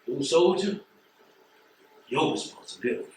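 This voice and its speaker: An actor playing a blunt, disappointed postal carrier who lectures emphatically in a stage play. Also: male voice, on the high side, lively intonation, normal speed, darkish tone, natural voice. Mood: stern, lecturing. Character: blunt, disappointed male postal carrier, preachy old friend. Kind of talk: stage play acting, dramatic dialogue. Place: theatre stage. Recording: roomy stage, distant mic, faint noise.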